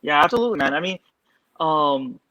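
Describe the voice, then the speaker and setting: A man's voice: a little high, animated, a little fast, sharp tone. A man in his thirties hosting a casual podcast, chatting loosely with fillers. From California. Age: 30s. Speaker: podcast host. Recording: dull lo-fi audio.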